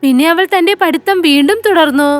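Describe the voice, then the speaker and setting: A woman's voice: sits high, brisk, soft background music. A woman narrating a scripted moral story for children.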